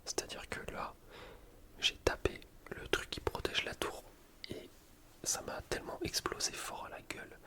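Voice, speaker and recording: A man softly whispering ASMR gameplay commentary in an informal, rambling, self-deprecating way. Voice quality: soft unvoiced whisper. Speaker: gaming video creator.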